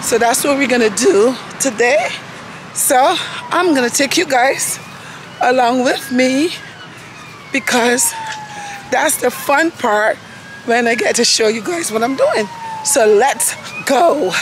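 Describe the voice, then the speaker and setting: A middle-aged woman with a hoarse voice, chatting straight to her phone camera on a travel vlog. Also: on the high side. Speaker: vlogger. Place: outdoors on a city street and in a park. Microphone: phone held at arm's length. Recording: street and traffic noise behind.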